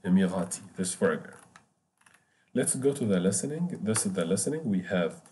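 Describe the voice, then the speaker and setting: A man teaching French beginners over a screen share, explaining slowly and repeating points with many fillers. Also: sits low, animated, darkish tone, slight background noise. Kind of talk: online screen-share lesson.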